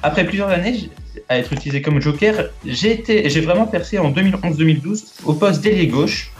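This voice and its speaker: A man's voice: on the high side, expressive, a little fast, echoey room, soft background music. A man co-hosting a fan live stream, reading out quiz clues in first person, then chatting casually. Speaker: co-host running a quiz.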